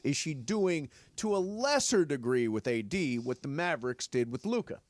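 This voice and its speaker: A man's voice: on the high side, very animated, moderate pace, no echo. A man hosting a radio sports show, chatting in a wry, teasing way in the studio. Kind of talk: casual studio chat.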